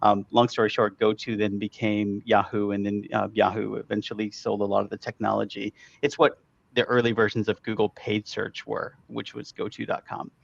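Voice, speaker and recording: Middle-aged guy from chile telling his story, kinda low gravelly voice, muffled dry audio. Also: moderate pace, dry recording. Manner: relaxed, with fillers like "um" and "kind of". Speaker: guest, digital marketing expert. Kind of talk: casual interview storytelling.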